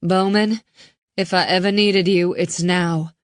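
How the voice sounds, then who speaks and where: Woman reading as a cop mom forcing herself calm, lowish voice, urgent. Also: measured pace, dry recording, clean studio sound, close to an everyday voice. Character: steady woman cop, protective mother.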